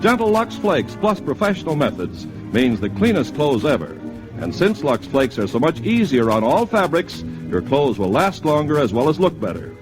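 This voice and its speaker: A man's voice: a little high, big pitch swings, moderate pace, over music, rough recording. An American man announcing in a scripted 1950s radio style, as on a vintage sponsored broadcast. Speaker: commercial announcer.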